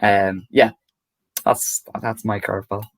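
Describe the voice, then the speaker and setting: A man's voice: fairly low pitch, flat delivery, dry recording. A young Irish man co-hosting a podcast, rambling through his points with many restarts and poking fun at himself. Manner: rambling, self-mocking, halting restarts. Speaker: podcast co-host. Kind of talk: casual chat.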